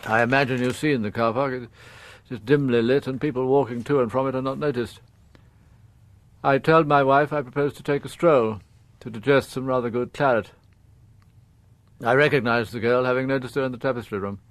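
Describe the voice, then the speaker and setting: A man's voice: mid-range voice, fairly even intonation, no room sound, close to an everyday voice. Dignified upper-class father, played by a man for radio drama: mid-range voice, guarded confession, careful wording, dry studio sound. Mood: reflective, formal. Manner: formal, reticent, halting, precise.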